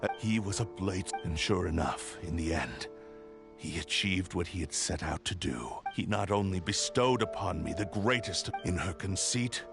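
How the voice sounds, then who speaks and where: An actor playing a proud, vengeful swordsman who speaks gravely and commandingly, over game music. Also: low voice, moderate pace. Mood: defiant. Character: proud vengeful male swordsman, grim and defiant. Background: game music score.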